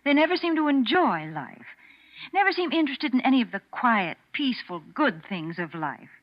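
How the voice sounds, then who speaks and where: A woman's voice: on the high side, big pitch swings, normal speed. A woman playing a chatty, homey spokeswoman in 1940s radio advertising, folksy and emphatic. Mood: cheerful chiding. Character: chatty, prim, homey woman pitching products. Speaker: commercial spokeswoman. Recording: muffled 1940s radio recording.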